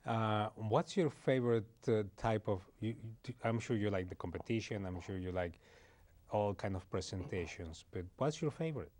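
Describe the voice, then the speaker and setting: A man's voice: fairly low pitch, expressive, moderate pace, a little mellow. A man interviewing guests on a TV show, laughing often and restarting his questions. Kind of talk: casual interview.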